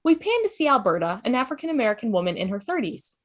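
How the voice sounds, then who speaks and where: A woman's voice: fairly high pitch, sing-song, quick pace. A woman reading scripted stage directions for an amateur table read, announcing each scene.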